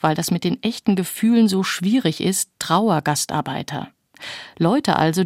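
A woman's voice: lowish voice, darkish tone, no echo, clean studio sound. A woman presenting on the radio, reading a scripted introduction.

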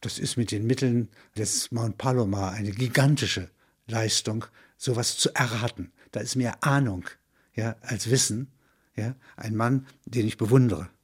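A man's voice: lowish voice, measured pace, breathy edge. A man reading literary narration in an explanatory manner, breaking into spoken asides. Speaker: narrator and reader.

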